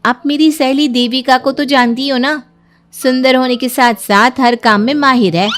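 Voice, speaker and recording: A scheming young woman from a moral-story cartoon, acted by a woman, speaks in a high, pointed voice. She is taunting and bossy, needling her family with spite. Music plays underneath. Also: fairly even intonation, moderate pace, a bit bright. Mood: complaining, taunting. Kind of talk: animated Hindi moral story dialogue.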